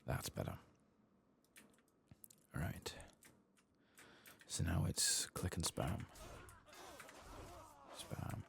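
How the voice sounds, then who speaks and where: A man doing soft-spoken, partly whispered ASMR game commentary, murmuring to himself in an understated way. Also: low voice, sing-song, extremely slow, creaky, pauses often, a little hiss or hum.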